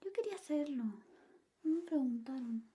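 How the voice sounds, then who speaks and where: A sulky, possessive young-sounding best friend played by a woman in an ASMR roleplay. The voice is high and dark-soft, slipping often into whispers. The manner is cutesy and bossy. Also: lively intonation, moderate pace. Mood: possessive, teasing. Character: jealous, possessive, pouting female best friend in love.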